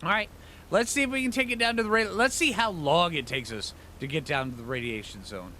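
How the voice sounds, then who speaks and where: A man's voice: fairly high pitch, fairly quick, bright, forceful tone, slight background noise. A man giving casual gameplay commentary, thinking aloud in informal, slangy phrases with rambling asides. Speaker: gaming channel host.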